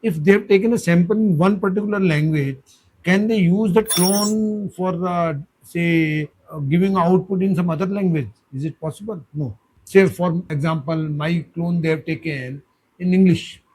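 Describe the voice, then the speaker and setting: A man, the host's father, reading aloud and asking measured, formal questions that check his understanding. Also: sits high, a little mellow, a little hiss or hum, low-quality recording.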